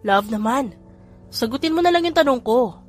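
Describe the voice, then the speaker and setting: A woman reader voicing a male police general who explains calmly and teases affectionately, with little change from her own voice. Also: high-pitched, moderate pace, faint music behind, pitched above the performer's own voice. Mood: teasing.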